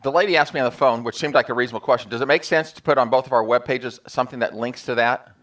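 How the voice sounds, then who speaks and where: A man's voice: medium pitch, very fast, fairly bright tone. A man informally leading a sales meeting, talking to a group in a colloquial, joking way. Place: meeting room in front of staff.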